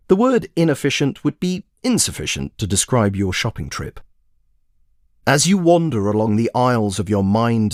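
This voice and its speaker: A man's voice: lowish voice, no echo, studio quality. A man voicing a smug, pedantic, self-admiring traveller in a scripted comic audio monologue, pompous and precise. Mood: pedantic, condescending.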